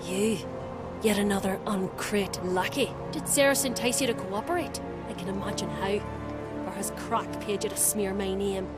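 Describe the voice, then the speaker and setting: A woman's voice: medium pitch, moderate pace, loud music behind the voice, close to an everyday voice. An actress playing a haughty, scheming middle-aged noblewoman in a fantasy game, speaking with imperious scorn. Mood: contemptuous. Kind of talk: dramatic fantasy game acting. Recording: dramatic score behind dialogue.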